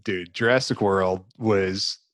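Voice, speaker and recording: A man's voice: deep voice, fairly even intonation, slow, fairly bright tone, dry recording, clean studio sound. A man co-hosting a podcast in casual chat, with a wry, joking way of chiming in. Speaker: podcast co-host.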